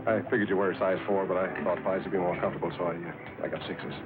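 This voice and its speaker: A man's voice: low voice, steady tone, fast talker. An American actor playing a wry, tough undercover lawman in old film noir style, with dry, laconic delivery. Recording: tinny, band-limited old film soundtrack, music.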